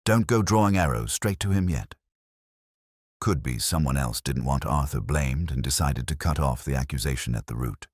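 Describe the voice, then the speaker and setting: A very deep, husky male actor's voice as a sardonic hardboiled detective's sidekick, telling a mystery audiobook. The delivery is dry and wisecracking, recorded close and dry. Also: lively intonation, moderate pace, no room sound. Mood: wry, probing. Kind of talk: first-person detective audiobook narration.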